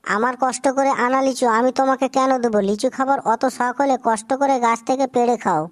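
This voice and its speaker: A woman's voice: sits high, steady tone, normal speed, no room sound, studio quality, natural voice. A woman voicing a clever, cautious and friendly monkey in a cartoon moral tale, spirited and plain-spoken. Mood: indignant.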